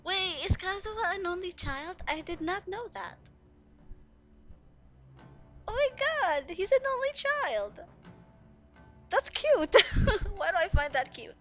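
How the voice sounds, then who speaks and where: A young woman giving casual, gushing, expressive reactions as she plays a video game. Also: very high-pitched, slow, pauses often. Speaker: game streamer. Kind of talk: casual gaming reaction commentary. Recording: dull lo-fi mic. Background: faint game music.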